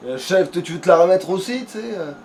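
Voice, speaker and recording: A man's voice: sits high, expressive, quick pace, darkish tone. A man playing a video game and chatting casually with a friend, rambling and swearing as he goes. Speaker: video game let's player at the controls. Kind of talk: casual gaming chat with a friend. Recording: clearly noisy home recording.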